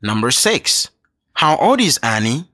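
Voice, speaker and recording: A man reads a language textbook aloud, his pitch leaping up and down in a sing-song way. He speaks slowly and clearly for learners. The recording is close and dry, with no room sound. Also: big pitch swings, bright, forceful tone, no echo. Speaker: textbook audio reader. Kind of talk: scripted dialogue reading for learners.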